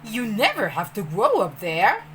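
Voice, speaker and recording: A woman voicing an adventurous, eager young boy who can fly, in an emphatic, excited storybook reading. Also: mid-range voice, unhurried, slight background noise, poor audio. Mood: urging. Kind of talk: children's storybook character reading.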